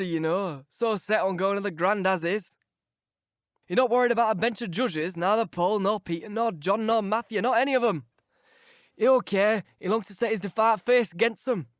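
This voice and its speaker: A man's voice: sits high, dry recording, clean studio sound, close to an everyday voice. A man doing a grumbling old servant. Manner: gossipy, grumbling. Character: old, grumbling manservant from the moors.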